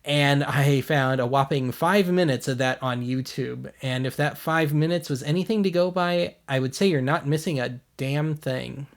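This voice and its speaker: A man's voice: medium pitch, normal speed, hardly any pauses. An American man hosting a video series, giving casual commentary in a wry, blunt, self-deprecating way. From United States.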